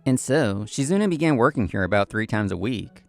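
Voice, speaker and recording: Expressive, creaky young café worker narrating, male actor. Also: lowish voice, normal speed, faint music behind. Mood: earnest, reflective. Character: earnest, polite young male café assistant manager. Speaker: narrator and lead actor of a manga story video. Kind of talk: scripted first-person narration.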